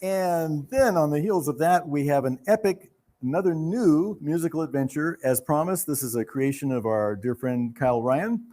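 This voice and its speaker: A middle-aged or older man hosting a theater event, making announcements to an audience in a formal, gracious and halting manner. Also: on the high side, lively intonation, warm tone. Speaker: theater host announcing a new season.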